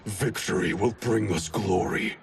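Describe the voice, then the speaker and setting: A man's voice: lowish voice, measured pace, slight background noise. An actor playing an arrogant, proud male clan grand master who speaks in a curt, commanding way. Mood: haughty.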